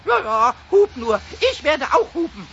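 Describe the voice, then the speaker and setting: A man voicing a cheeky small kobold with a fiery temper, excitable and repeating himself, in a children's radio play. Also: very high voice, measured pace, creaky, full character voice. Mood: boastful, excited. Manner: excitable, stammering repetitions, rhyming outbursts. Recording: dull, noisy 1970s radio recording.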